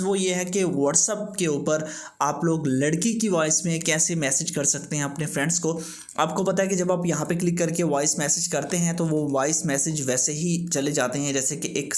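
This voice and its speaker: A man hosting a tech tips tutorial, giving step-by-step instructions. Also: a little high. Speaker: host of a tech tips channel. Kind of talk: scripted-sounding tutorial narration for a video.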